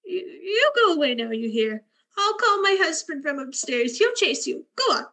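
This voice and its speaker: A woman playing a suspicious old housewife in a radio drama. She is high-voiced, flustered and indignant, stumbling over her words. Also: big pitch swings, moderate pace, pushed and bright, exaggerated voice. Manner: hesitant, stammering, indignant. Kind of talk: radio play acting.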